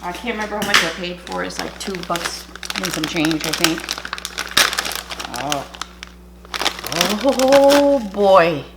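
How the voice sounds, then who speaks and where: A woman's voice: fairly low pitch, lively intonation, takes it slowly, vocal fry, long pauses, heavy background noise. A woman whose first language is French, a cooking YouTuber chatting and rambling through an air-fryer demo in her home kitchen. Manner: chatty, rambling, self-interrupting.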